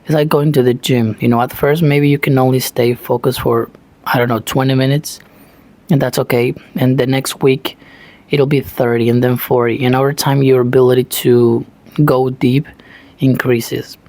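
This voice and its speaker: A man's voice: mid-range voice, level tone, normal speed, a little mellow, dry recording. A man from Argentina with an Argentine Spanish accent, a native Spanish speaker giving an earnest motivational talk in English, full of "you know" fillers. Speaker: self-taught English learner, channel host.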